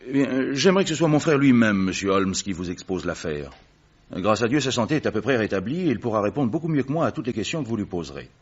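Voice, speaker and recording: A man's voice: fairly low pitch, animated, fast talker, slightly rough. An actor playing an anxious man who earnestly pleads for help for his brother in a vintage radio drama. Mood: pleading. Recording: dull old radio recording.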